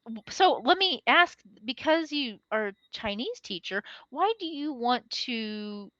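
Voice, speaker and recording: A woman of forty, an English tutor from the United States, chatting excitedly with a student and laughing often. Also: a little high, a little slow, no room sound, muffled recording. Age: 40s. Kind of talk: online lesson conversation. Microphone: video call.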